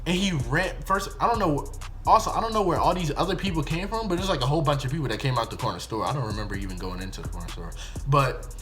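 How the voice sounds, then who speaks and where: A man's voice: on the high side, lively intonation, fast talker, fairly bright tone, faint music behind. A young American man telling a story casually to viewers, rambling and slangy, often correcting himself. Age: young adult. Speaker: video storyteller.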